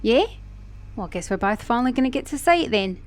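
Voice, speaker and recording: A woman's voice: on the high side, animated, fast talker. A woman with a mixed Australian and British accent playing a flirty, sassy, teasing car mechanic in an ASMR romance roleplay. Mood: excited, playful. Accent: Australian-British mix. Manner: flirty, teasing, playful, with soft chuckles.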